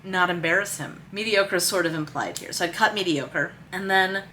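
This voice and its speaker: A woman's voice: lowish voice, normal speed, pushed and bright, rough recording. A woman talking casually and emphatically, thinking aloud as she explains her work in a vlog. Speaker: screenwriter and vlog host. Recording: noticeable background noise.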